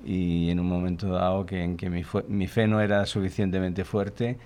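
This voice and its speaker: A man in his sixties from Chile, answering interview questions in a thoughtful, hesitant way and often pausing to search for words. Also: sits low, flat delivery, darkish tone. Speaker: guest, father of a large family.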